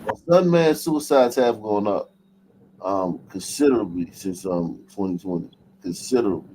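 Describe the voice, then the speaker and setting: A man's voice: lowish voice, expressive, moderate pace, warm tone, soft background music, low-quality recording. A man hosting a casual livestream news commentary, talking bluntly with profanity and street slang. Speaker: show host. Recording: noticeable background noise.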